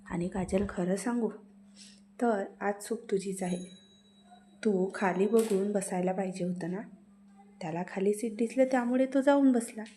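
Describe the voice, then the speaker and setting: A woman storyteller voicing a gentle young college woman who calms two quarreling friends and explains things patiently. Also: medium pitch, takes it slowly, long pauses, a little hiss or hum, close to an everyday voice. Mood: soothing. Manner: soothing, explaining.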